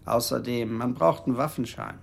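Irritable shop dealer in radio drama, mid-pitched with gravelly edge. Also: male voice, moderate pace. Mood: irritated. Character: irritable, brusque male gun shop dealer. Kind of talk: German radio play acting.

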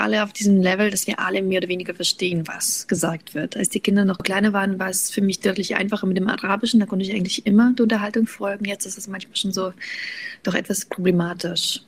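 Gravelly woman, native Russian speaker. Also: mid-range voice, steady tone, fast. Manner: reflective, with frequent restarts and fillers. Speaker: guest author. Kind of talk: thoughtful conversational interview.

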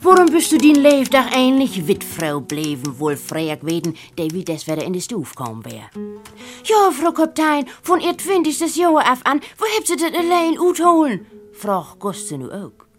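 A woman with a Low German accent reads fiction aloud and plays out the dialogue. Her voice is fairly high and very lively in its melody. Soft music runs behind her. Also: normal speed. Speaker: reader of a literary story. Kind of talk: animated story reading with dialogue.

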